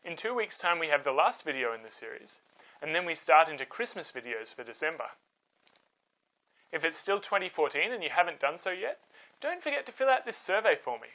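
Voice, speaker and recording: A man's voice: fairly high pitch, very animated, a little fast, sharp tone, low-quality recording. A man telling a scripted story for children as host, expressively and with dramatic emphasis. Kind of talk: animated scripted Bible storytelling.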